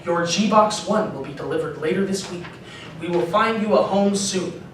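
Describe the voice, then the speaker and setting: A man delivers scripted comic story narration. His pitch is high for a man. The sound is distant and heavily echoed, with faint noise. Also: normal speed, fairly bright tone. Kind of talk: scripted comedic story narration, read live. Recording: boomy echoing room, off mic, faint noise.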